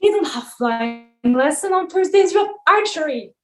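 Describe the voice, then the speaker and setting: A woman's voice: sits high, expressive, moderate pace, soft background music. A woman playing a jaded, sarcastic male wine god who runs a summer camp, in a weary, theatrical table read. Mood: sardonic. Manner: sardonic, weary, theatrical complaining.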